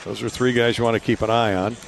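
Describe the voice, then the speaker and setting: A man working as a radio play-by-play announcer, giving sports commentary in a somewhat halting way. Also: mid-range voice, fairly even intonation, creaky, crowd noise.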